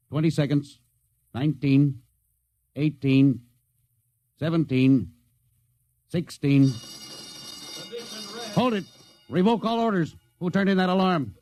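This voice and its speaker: An actor playing a stern middle-aged spaceship captain with a soft spot for old crew, clipped and commanding in 1950s radio drama style. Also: male voice, mid-range voice, muffled recording, natural voice. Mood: brisk, commanding, then angry, urgent.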